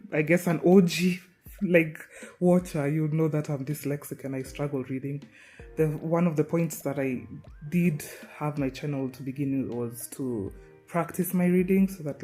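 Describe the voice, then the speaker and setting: A woman with a Kenyan accent, a gaming YouTuber giving a casual, rambling voiceover with soft laughs and hesitations. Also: low voice. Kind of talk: casual gameplay voiceover commentary.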